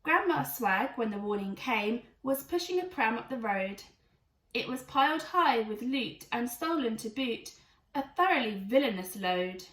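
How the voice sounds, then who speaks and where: A woman with a high voice reads a rhyming storybook to children. Her delivery is expressive and emphatic. The recording is roomy and slightly distant. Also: measured pace. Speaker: teacher reading to children. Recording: echoey room, away from mic.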